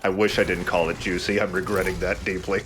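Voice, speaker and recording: A man's voice: deep voice, level tone, quick pace, a bit bright. A man doing casual, self-mocking let's play commentary with wry jokes and some swearing. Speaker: let's player playing the game. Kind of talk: casual joking game commentary. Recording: faint background game noise.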